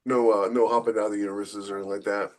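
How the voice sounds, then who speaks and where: A man's voice: on the low side, level tone, very fast, darkish tone, a little hiss or hum. A man in casual conversation as a podcast co-host and author, with a wry, joking way of talking. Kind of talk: casual chat about writing.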